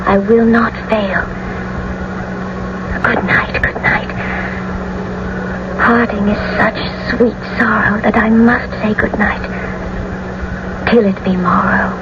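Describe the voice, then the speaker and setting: A woman's voice: mid-range voice, little pitch movement, slow, pauses often, close to an everyday voice. An actress playing a tender, anxious, lovestruck young noblewoman in a classical radio drama, theatrical and earnest. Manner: theatrical, earnest, romantic delivery. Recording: muffled, noisy old radio broadcast recording.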